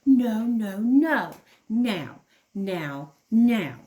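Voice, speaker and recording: A woman acts out a little storybook kangaroo girl with a temper. She is whiny and stubborn, insisting on her way with emphatic, drawn-out demands. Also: fairly high pitch, sing-song, dark tone, heavily acted. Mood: tantrum, defiant. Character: young girl kangaroo, demanding, prone to tantrums.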